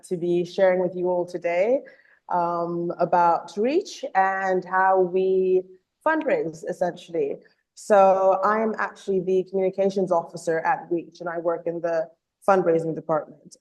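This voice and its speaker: Woman a bit hoarse from a cold, presenting over a video call, fairly low voice, lots of ums. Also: a little mellow, rough recording. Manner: earnest, with frequent ums and uhs. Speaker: communications officer presenting. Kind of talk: online presentation.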